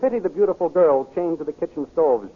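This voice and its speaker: A man's voice: fairly high pitch, fast talker. A man announcing and narrating a 1930s radio serial in a dramatic, declaiming manner. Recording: tinny old radio transcription.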